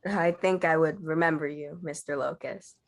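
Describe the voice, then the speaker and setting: A woman's voice: low voice, steady tone, moderate pace. A woman playing a guarded, businesslike reporter with some spice, reserved and matter-of-fact, in an audio drama table read.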